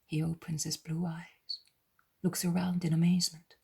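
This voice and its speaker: A woman narrating a religious story in a soft whisper. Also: lowish voice, flat delivery, normal speed, vocal fry, slightly rough. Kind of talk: reading a religious story aloud.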